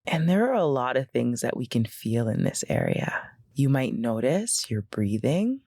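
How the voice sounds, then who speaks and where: Slow, creaky-voiced woman guiding meditation. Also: rumbling low, sing-song, no echo, studio quality. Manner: gentle, unhurried, instructional with pauses. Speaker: meditation guide. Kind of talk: guided meditation.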